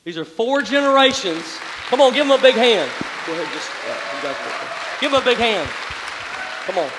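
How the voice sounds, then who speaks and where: A man's voice: high voice, very animated, normal speed, background noise. A man, hosting people on stage at church in an upbeat, coaxing way. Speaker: pastor. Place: large church hall with live band and congregation. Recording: hall reverb, loud band and crowd.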